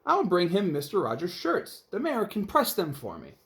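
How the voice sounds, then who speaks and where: A man's voice: high voice, wildly swooping pitch, moderate pace, a bit bright, voice pushed up, heavily acted. A man voicing a cheerful, literal-minded housekeeper woman in a children's storybook, eager and matter-of-fact.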